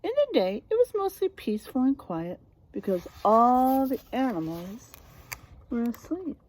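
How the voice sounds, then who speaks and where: A woman reads a children's story aloud at an unhurried pace. Her voice is soft-edged and mellow, with very lively rises and falls. She draws out dramatic words and breaks off to quiz her young listeners in a teacherly way. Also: on the high side, rough recording. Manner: teacherly, prompting listeners with questions. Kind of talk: expressive picture-book read-aloud with questions to children.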